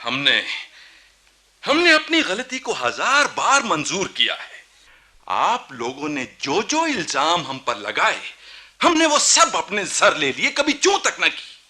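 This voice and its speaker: An actor playing a proud, embittered young Nawab in a historical radio drama, with imperious, rhetorical courtly speech. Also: male voice, high voice, wildly swooping pitch, slow pace, bright, forceful tone, exaggerated voice. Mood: bitter, aggrieved. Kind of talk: theatrical Hindi radio historical drama acting.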